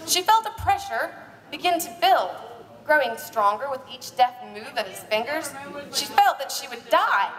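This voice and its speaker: Female live narrator, high and playful, theatrical comic romance reading, picked up from a distance. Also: very animated, moderate pace, a bit bright. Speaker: narrator and presenter. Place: live stage at a bar party. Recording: echoey live hall, distant mic.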